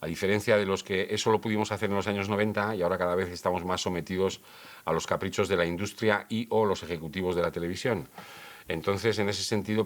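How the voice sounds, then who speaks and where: A man speaks as a script advisor, giving frank, thoughtful feedback. His voice sits very low. He talks in a loose, conversational way, often restarting his sentences. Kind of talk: workshop feedback and interview.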